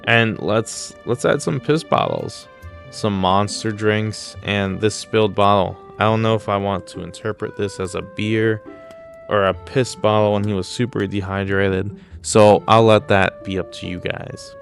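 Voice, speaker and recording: A man's voice: fairly low pitch, unhurried, creaky, soft background music. A man hosting casual comedic video commentary, mock-serious, blunt and crude with plenty of swearing. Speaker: YouTube host.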